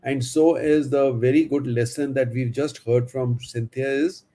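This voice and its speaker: A man hosts a live online conversation, sharing stories from his past in a relaxed way. His voice has a mid-range pitch, and he uses many fillers as he sums things up. He speaks over a video call. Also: a little slow. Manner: anecdotal, with frequent fillers. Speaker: host. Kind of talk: conversational interview hosting.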